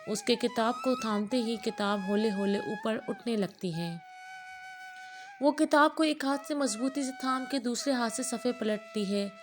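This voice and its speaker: A woman storyteller reading a scripted moral story as narration. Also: a little high. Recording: music playing behind the voice. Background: background music.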